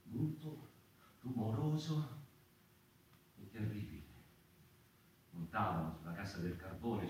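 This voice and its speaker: An actor playing an anguished, sleepless man haunted by mice, in a theatrical monologue with halting, deliberate phrasing and dramatic pauses. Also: mid-range voice, fairly bright tone, close to an everyday voice. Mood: tense, hushed awe. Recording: roomy, distant stage recording with faint noise.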